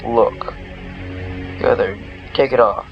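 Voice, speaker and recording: A man's voice: mid-range voice, slow, dull audio. A man reading the dialogue of a game aloud as he plays, with game music behind him. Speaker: let's player.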